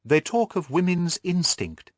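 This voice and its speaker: A man's voice: high-pitched, big pitch swings, unhurried, no room sound, clean studio sound, voice pushed up. A man voicing an earnest, curious young governess who recounts events in formal Victorian storytelling for an audiobook.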